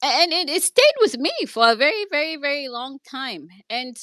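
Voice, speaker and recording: A woman's voice: high-pitched, very animated, normal speed, fairly bright tone, no room sound, clean studio sound. A woman with a Kenyan accent telling a personal story in an online discussion, earnest and hesitant, with many restarts. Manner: earnest, speaks from her own experience. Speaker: speaker in an online audio discussion.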